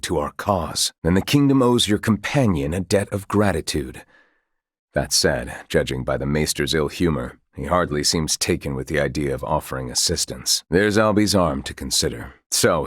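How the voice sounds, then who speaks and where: An actor playing a grave, dutiful military commander in a fantasy video game, speaking in formal, courtly phrases with measured care. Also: male voice, sits low, breathy edge, dry recording, clean studio sound. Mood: formal, wary. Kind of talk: scripted video game dialogue.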